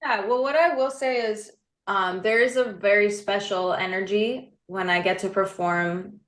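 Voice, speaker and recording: A woman's voice: mid-range voice, moderate pace, a bit bright. A young woman talks easily and at length, filling her sentences with "like" and "you know" and laughing often. Her voice sits in a middle register. The sound carries a noticeable roomy echo. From United States, raised between Crete and New Jersey. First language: Greek. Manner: chatty, laughing, many fillers like "like" and "you know". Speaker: singer, interview guest. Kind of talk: casual interview chat.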